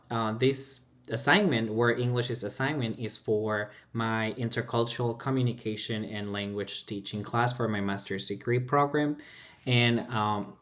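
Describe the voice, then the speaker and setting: A man from Colombia with a Colombian accent, a native Spanish speaker, giving an informal academic presentation as a student and often searching for words. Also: mid-range voice. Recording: dull lo-fi audio.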